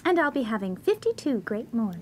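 Woman playing brazen, high-voiced young schemer. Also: sing-song, brisk, darkish tone, slight background noise. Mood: brazen, demanding. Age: young adult. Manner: blunt, demanding. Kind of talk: 1950s-set comedy radio play acting.

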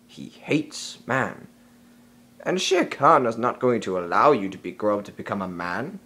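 An actor playing a stern, protective panther in an animated film, authoritative and easily exasperated. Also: male voice, lowish voice, unhurried, husky, exaggerated voice. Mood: grave, firm. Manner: authoritative, formal, scolding.

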